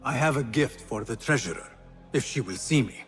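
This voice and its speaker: An actor playing a composed, guarded young thief posing as a trader in a video game, polite. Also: male voice, medium pitch, expressive, normal speed, slightly rough, faint music behind. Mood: calm, probing. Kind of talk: cinematic video game dialogue.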